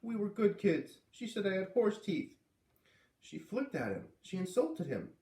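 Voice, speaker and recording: A man's voice: sits high, very animated, unhurried, mellow, roomy sound, far from the mic, voice pushed up. A man voicing an earnest, indignant young girl from a storybook, insistent and protesting.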